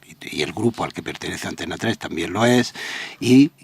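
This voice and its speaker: Male journalist guest, low husky voice, reflective and halting. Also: expressive, no room sound. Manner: reflective, searching for words with restarts. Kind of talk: radio interview. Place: local radio studio.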